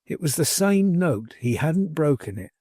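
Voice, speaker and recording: A man voicing a proud, hesitant young gentleman in a storybook, speaking formally and earnestly in measured phrases. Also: on the high side, big pitch swings, slightly rough, dry recording, studio quality, pitched above the performer's own voice, heavily acted. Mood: rueful. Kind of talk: dramatised audiobook character dialogue.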